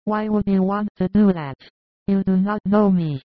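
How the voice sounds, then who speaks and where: Casting: man doing a frail old village woman, high thin fretful voice, tinny dry phone-like sound. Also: moderate pace, no echo, natural voice. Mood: despairing. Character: frail sick old woman, querulous then joyful. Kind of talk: audiobook character voice.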